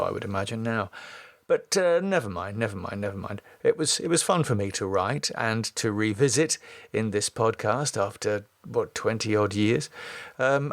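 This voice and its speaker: A man's voice: on the low side, creaky, no room sound. Man, British, middle-aged, podcast narrator, casual scripted storytelling, dry close sound. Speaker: podcast host and writer. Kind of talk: scripted screenplay reading and casual podcast talk.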